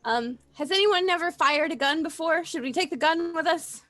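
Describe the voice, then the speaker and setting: High-voiced hesitant woman, roleplaying. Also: bright, forceful tone. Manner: hesitant, halting. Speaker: tabletop roleplay player. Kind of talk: improvised roleplay chat.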